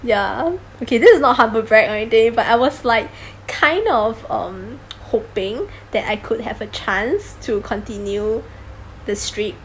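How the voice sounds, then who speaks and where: Woman, casual vlog chat, fairly high voice, self-deprecating, lots of ums, faint background noise. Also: unhurried, poor audio. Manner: chatty, self-deprecating, many ums and laughs. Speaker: vlogger sharing exam tips. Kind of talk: casual talking-to-camera vlog.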